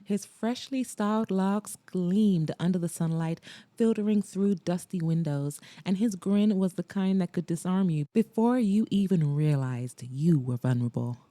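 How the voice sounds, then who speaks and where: Warm low-voiced woman narrating romance. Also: no echo. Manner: dramatic, with slow emphatic pauses. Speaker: podcast host and audiobook narrator. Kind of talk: expressive audiobook narration.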